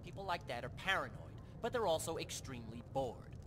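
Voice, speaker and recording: Chatty gambler companion for game, male actor: higher voice, animated swoops, persuasive, rumbling noise behind. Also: fairly quick. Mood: eager, persuasive. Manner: casual, talkative, expressive. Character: chatty, confident male card-player adventurer, persuasive schemer. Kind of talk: scripted video game dialogue. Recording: clear rumbling background noise.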